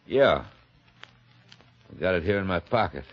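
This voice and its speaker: An actor playing a terse, wary killer who speaks conspiratorially in a 1950s radio mystery. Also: male voice, deep voice, animated, measured pace, breathy edge, heavily acted. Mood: wary, secretive. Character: terse, wary male killer planning a cover-up. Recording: muffled, noisy old radio broadcast.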